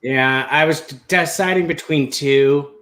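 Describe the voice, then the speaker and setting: A man's voice: fairly high pitch, measured pace, a bit bright. A man chatting casually as a panelist in a group movie discussion. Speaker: panelist on a movie draft show.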